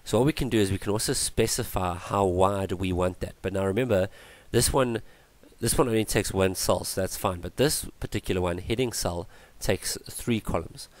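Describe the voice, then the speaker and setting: A man's voice: low voice, normal speed, hardly any pauses, no echo. A man teaching a coding tutorial over a screencast, thinking aloud with fillers and self-corrections. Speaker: tutorial instructor.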